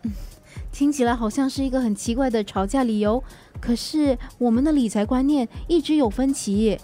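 A woman's voice: on the high side, moderate pace, warm tone, soft background music, close to an everyday voice. An actress playing an earnest, easily wounded wife in her thirties in a radio drama, with emotive, pleading delivery. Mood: weary, aggrieved. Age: 30s.

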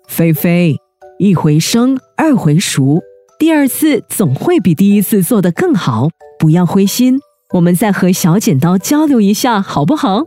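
A patient, encouraging storybook mom , played by a woman, has a mellow, very expressive voice. She soothes and coaxes, with music underneath. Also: mid-range voice, unhurried. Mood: soothing. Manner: soothing, coaxing, kindly. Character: gentle, patient, encouraging mother in a children's story. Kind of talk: children's audio story acting.

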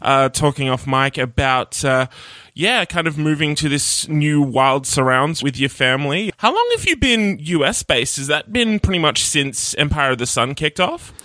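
A man's voice: fairly high pitch, expressive, normal speed, few pauses, pushed and bright, dry recording, clean studio sound. A man hosting a music podcast, chatting enthusiastically and laughing often. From Australia. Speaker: podcast host. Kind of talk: casual interview chat.

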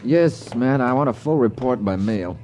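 An actor playing a businesslike FBI agent, clipped and matter-of-fact, in a 1950s radio drama. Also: male voice, medium pitch, expressive, normal speed, lightly acted. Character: businesslike male FBI agent handling an informant. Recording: slightly noisy 1950s radio recording.

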